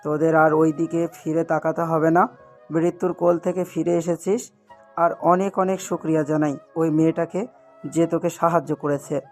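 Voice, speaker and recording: Man acting an earnest, even-toned protective uncle. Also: on the high side, moderate pace, faint music behind, close to an everyday voice. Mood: relieved, consoling. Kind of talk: Bengali audio love-story drama acting.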